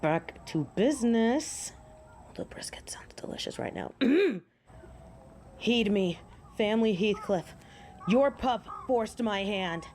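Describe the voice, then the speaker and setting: A woman's voice: a little high, expressive, a little mellow. A woman playing a proud, sardonic female werewolf alpha in a theatrical fantasy audio drama. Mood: stern, commanding. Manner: haughty, theatrical, deliberate. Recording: clear background noise and ambience.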